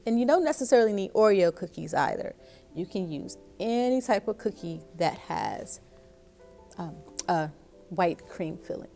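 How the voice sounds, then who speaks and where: A woman with a soft, mellow voice hosts a children's story time. She talks to young listeners in an encouraging, instructive way and often puts questions to them. Music plays along with her voice. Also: fairly low pitch, expressive, moderate pace, creaky.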